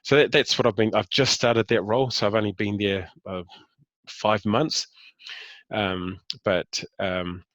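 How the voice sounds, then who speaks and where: A man's voice: on the low side, steady tone, unhurried, fairly bright tone, dry recording. A man in his fifties with a New Zealand accent, talking about himself in a casual interview, hesitant with many fillers and restarts. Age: 50s. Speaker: interview guest.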